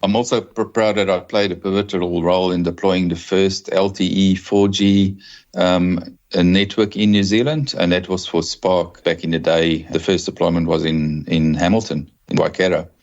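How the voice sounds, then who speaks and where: A South African man in his fifties, a telecom executive giving a measured interview with small restarts. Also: low-pitched, steady tone, a little mellow, highs cut off. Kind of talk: podcast interview.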